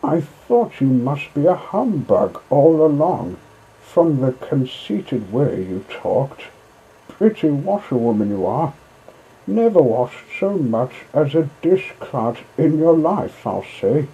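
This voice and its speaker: A man's voice: a little high, sing-song, slow, mellow, breathy edge, muffled recording, pitched above the performer's own voice, full character voice. A man voicing a fat, mocking, brash barge woman from a storybook, jeering and scolding. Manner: gasping, exclamatory scolding and jeering. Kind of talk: storybook character voice in an audiobook reading.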